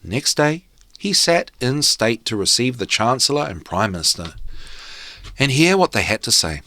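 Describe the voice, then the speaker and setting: A man reading a fairy tale aloud as a storyteller, in a measured, theatrical way. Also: mid-range voice, sing-song, pushed and bright, no echo. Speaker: storyteller and host. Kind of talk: expressive fairy tale narration read aloud.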